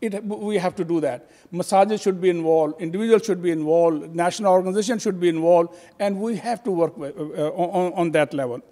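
A man's voice: sits high, a little fast, hardly any pauses, warm tone. Older man speaking in a reverberant hall. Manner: hesitant, many fillers and restarts, gesturing while speaking. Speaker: convention speaker. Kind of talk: convention talk. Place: convention hall. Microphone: stand mic at a podium.

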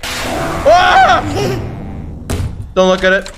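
A man's voice: high voice, huge pitch swings, extremely slow, over loud music. A man giving reaction commentary on a horror game, jumpy and exclaiming, swearing and repeating himself. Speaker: gaming video creator.

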